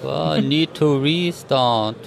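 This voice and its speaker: A man's voice: on the high side, takes it slowly, gravelly, a crowd in the background. A man and content creator chatting at a trade fair with dry, teasing humor. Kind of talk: casual chat. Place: busy trade fair floor.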